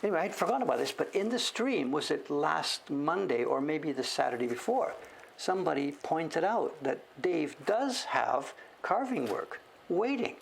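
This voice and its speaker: A man's voice: on the high side, animated, moderate pace, gravelly, slight background noise. A man in his late sixties chatting casually on a livestream about his printmaking work, rambling and correcting himself and often calling himself by name. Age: 60s. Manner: rambling, self-correcting, talks about himself in third person.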